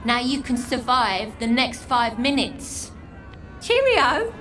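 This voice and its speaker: A woman's voice: high voice, big pitch swings, slow pace, fairly bright tone, a little hiss or hum, heavily acted. An actress playing a scornful, streetwise homeless woman in a video game, with an English accent and a blunt, mocking manner. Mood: blunt, wry. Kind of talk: video game character acting.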